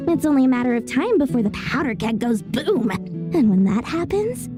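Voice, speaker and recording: A woman's voice: high voice, sing-song, moderate pace. A woman voicing a greedy, chatty young female merchant in a video game, talking in a theatrical, salesy way. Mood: gossipy, scheming. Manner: theatrical, salesy, self-promoting. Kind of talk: video game character acting. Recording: music under the voice.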